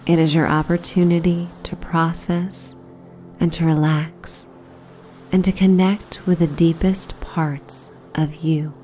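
A woman narrating a guided sleep meditation in a soothing, hushed, breathy and unhurried way. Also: low-pitched, little pitch movement, soft background music. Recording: soft background ambience soundscape. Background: relaxing ambient soundscape.

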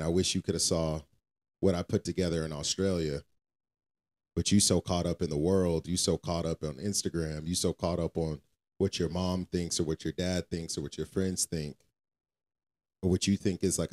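Low-voiced man, informal and emphatic. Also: a little mellow. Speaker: podcast co-host. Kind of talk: casual faith conversation.

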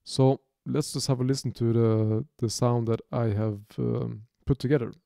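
A man in his thirties from Norway with a Norwegian accent, giving an unscripted music software tutorial from his home studio, talking haltingly with many restarts and fillers. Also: mid-range voice, fairly even intonation, gravelly, no echo. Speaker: music producer demonstrating software.

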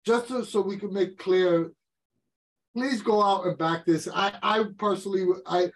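A man's voice: sits high, measured pace. A man co-hosting an interview over a video call, casual and earnest, repeating words for emphasis. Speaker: interview co-host. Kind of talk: casual, earnest appeal to viewers. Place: indoor room on a video call.

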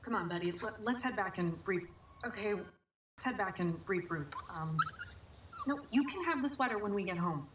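A woman acting in an audio drama as an edgy woman out searching with her dog, chatty and halting, in a naturalistic style. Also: medium pitch, expressive, close to an everyday voice. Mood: uneasy, resolute. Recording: noisy, roomy dialogue recording.

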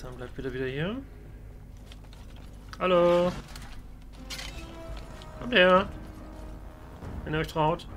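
A man's voice: high-pitched, expressive, slow, pauses often, fairly bright tone. Man doing casual role-play game commentary, lightish voice. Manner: talks to game characters as if present. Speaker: let's player. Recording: voice over game music. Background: video game music and sounds.

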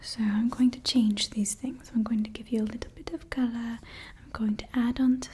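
A woman whispering slowly as a doting female scientist who builds an android, murmuring to herself and coaxing it with praise. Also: on the high side, steady tone, darkish tone. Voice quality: whispering, breathy. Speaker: ASMR roleplay creator. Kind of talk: ASMR roleplay.

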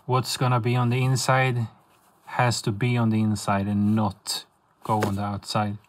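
A young adult man talking casually as a vlogger, in a halting, reflective way. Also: on the low side, completely flat delivery, slight background noise. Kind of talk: casual vlog narration.

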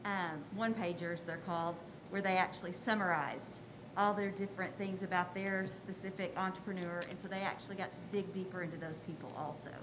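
A woman's voice: lowish voice, fairly even intonation, brisk. An older woman, a teacher, giving an informal, explanatory presentation in a conversational way at a podium microphone through a face mask. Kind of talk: informal award presentation to a school board. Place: school board meeting room. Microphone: podium microphone, speaking through a face mask. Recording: audible room noise at a podium microphone.

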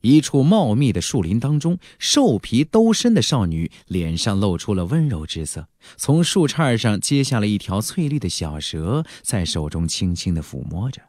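A man's voice: on the low side, very animated, moderate pace, few pauses, darkish tone, dry recording, clean studio sound. A man narrating a fantasy audiobook in a theatrical, emphatic style, drawing out key phrases for drama. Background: faint background music.

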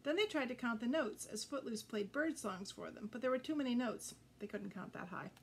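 An older woman reading a children's picture book aloud, expressive and addressing young listeners directly. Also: on the high side, a little fast. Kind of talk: children's read-aloud storytelling. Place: indoors before a green backdrop. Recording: noticeable background noise.

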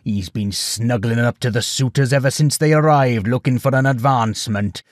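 Male storyteller as huge pig farmer, gruff, blunt, hearty, loyal, rustic emphatic phrasing, storybook character, dry recording. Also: mid-range voice, normal speed, dry recording, lightly acted. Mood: sour, scornful.

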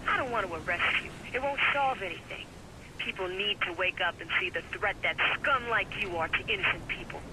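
A woman's voice: mid-range voice, a little fast, natural voice. A woman playing a cold, contemptuous military commander. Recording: game audio with loud noise.

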